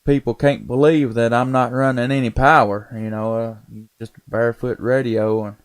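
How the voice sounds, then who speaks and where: A man's voice: medium pitch, little pitch movement, normal speed, clear, resonant tone. A man with a Southern US accent making folksy, casual conversation as a ham radio operator. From Tennessee, United States. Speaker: amateur radio operator and live streamer.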